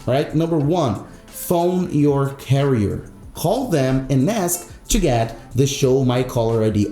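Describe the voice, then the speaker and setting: A man's voice: mid-range voice, dark tone, reverberant. A man hosting an instructional tech tutorial, explaining step by step in an emphatic, repetitive way. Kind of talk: instructional screen-recorded tutorial narration.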